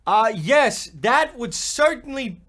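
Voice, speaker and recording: Comedy radio play: sly older-brother boy, played by a man, high voice, slow folksy delivery, bossy and stilted. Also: expressive, fairly bright tone, exaggerated voice. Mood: bossy, needling.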